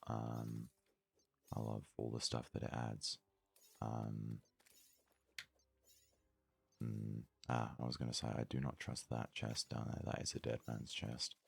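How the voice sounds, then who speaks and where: A man giving casual ASMR let's play commentary in a very soft, hushed, breathy voice, murmuring his thoughts aloud without hurry. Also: very deep voice, monotone. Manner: unhurried, murmured thinking aloud. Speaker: gaming video host.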